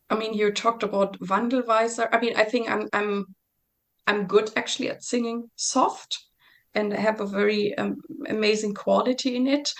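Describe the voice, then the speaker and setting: Thoughtful woman singer with a gravelly edge. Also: mid-range voice. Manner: thoughtful, halting, with many restarts and fillers. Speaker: singer being interviewed. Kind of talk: reflective conversational interview.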